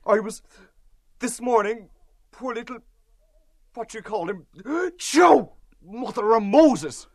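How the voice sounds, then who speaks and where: Hearty high-voiced Dublin man. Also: lively intonation, normal speed, a bit bright, no echo, clean studio sound. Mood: gasping, cursing. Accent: Irish. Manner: exclamatory, colloquial, emphatic. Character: hearty, blustering, chatty Dublin man showing a visitor round. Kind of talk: dramatised literary audiobook dialogue.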